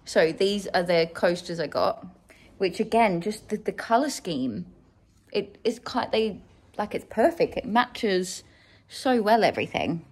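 A woman's voice: medium pitch, lively intonation, measured pace. An enthusiastic woman in her thirties chatting casually for a home vlog, recorded indoors on a handheld device. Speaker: vlogger. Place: living room and kitchen at home, indoors. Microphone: handheld phone or camera, near.

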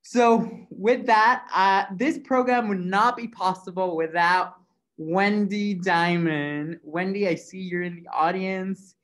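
A man's voice: sits high, steady tone, slow pace, a bit bright. A man in his thirties from Mexico, hosting an online event over a video call from an office, upbeat and full of fillers. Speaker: event host. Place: office room, indoors. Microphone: video call webcam.

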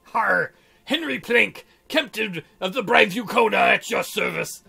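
A man's voice: sits high, lively intonation, unhurried, vocal fry, sharp tone, slightly rough, exaggerated voice. A man voicing a teasing, unbothered male pirate captain in drawling pirate talk for a visual novel. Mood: mocking, boastful. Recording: music under the voice.

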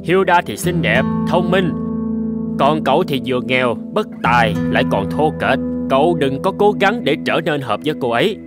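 A man's voice: mid-range voice, lively intonation, normal speed, natural voice. With music behind him, a man acts a snobbish young cartoon rival. He is boastful and condescending, a smug young fellow sure of his own worth. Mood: sneering. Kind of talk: animated fairy tale dubbing. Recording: background music under the dialogue.

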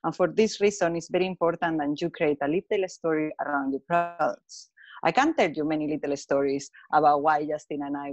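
A woman from Spain with a Spanish accent, speaking English as a second language, giving an earnest webinar talk on a video call with some halting phrasing. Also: low-pitched, a little mellow, no room sound. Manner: earnest, halting non-native phrasing with fillers. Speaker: webinar speaker, colour consultant. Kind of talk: online presentation.

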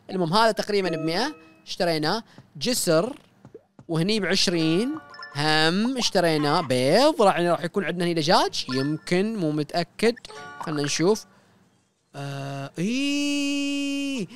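A man's voice: sits high, clean tone. A young man giving casual let's-play commentary, chatting to viewers and repeating short commands as he plays, on a close studio mic in a small home studio. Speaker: gaming YouTuber. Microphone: studio mic close up, wearing headphones.

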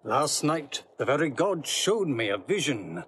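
An actor playing a solemn Roman soothsayer in a Shakespearean audio drama, speaking prophetic verse in a portentous, deliberate manner. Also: male voice, a little high, big pitch swings, fairly bright tone, a little hiss or hum.

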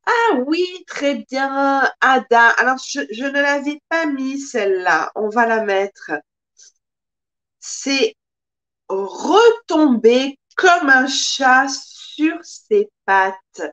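A woman in her thirties teaches French live from a small room, recorded on a desk webcam. Her voice sits high. She speaks very slowly and expressively, drawing out each phrase for her learners. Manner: slow, careful, expressive teacher with lots of hand gestures. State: occasional coughing from pollen allergies. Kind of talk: live-streamed language lesson.

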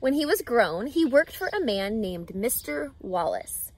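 A woman reads a children's story aloud, expressive and emphatic, addressing young listeners. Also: on the high side, unhurried. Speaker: reading teacher, storyteller. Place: outdoors in a grassy lakeside yard.

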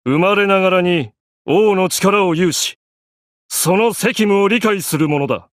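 An actor playing an arrogant, grandiose young pharaoh in an anime game, declaiming in an imperious, pompous way. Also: male voice, sits high, a little slow, no echo, studio quality. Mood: grand, haughty.

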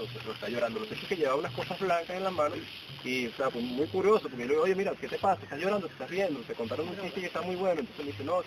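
Young male, Venezuelan accent, informal outdoor guide talk, rapid speech, mid pitch, noisy low-grade camera sound. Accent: Venezuelan Spanish. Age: young adult. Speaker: cave guide. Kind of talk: informal safety briefing with anecdotes. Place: outdoors in a forest, by a signboard. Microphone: camera a few steps away.

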